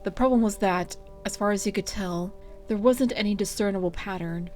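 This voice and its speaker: A woman narrates a scripted story at a middle pitch. She reads the prose aloud as a storyteller, with music faintly behind her. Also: moderate pace, darkish tone.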